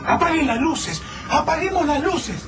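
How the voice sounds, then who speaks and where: An actor with an Argentine accent playing an excitable, jittery survivor in a radio drama. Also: male voice, very high-pitched, a little slow. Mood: panicked. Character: Lucas, excitable, jittery, slangy male friend among survivors. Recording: music clearly behind the voice.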